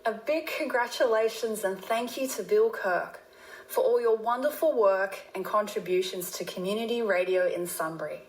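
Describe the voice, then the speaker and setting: A woman's voice: mid-range voice, normal speed, roomy sound, a little hiss or hum, low-quality recording. A woman with an Australian accent, a singer-songwriter delivering a prepared tribute message.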